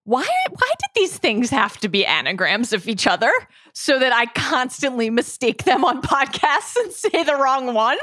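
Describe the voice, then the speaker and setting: A woman co-hosting a podcast in casual chat, excitable and laughing, her voice jumping up when amused. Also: normal speed, few pauses, dry recording, clean studio sound. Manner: excitable, laughing, jumps up in pitch. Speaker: podcast co-host.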